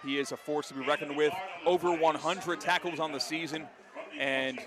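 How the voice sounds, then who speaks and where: An American man doing live play-by-play radio commentary of high school football, excitable and ready to shout the big calls. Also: moderate pace, a crowd in the background. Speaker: play-by-play announcer.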